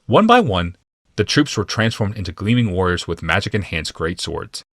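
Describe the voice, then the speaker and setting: Low-voiced man narrating a fantasy audiobook. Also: very animated, normal speed, fairly bright tone, no room sound, clean studio sound. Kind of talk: dramatic scripted fantasy narration.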